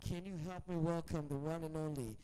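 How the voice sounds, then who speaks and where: A man's voice: a little high, steady tone, fairly quick, warm tone, smooth, low-quality recording. A man emceeing a conference panel, upbeat and jokey, calling for applause. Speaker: event emcee. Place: large exhibition hall.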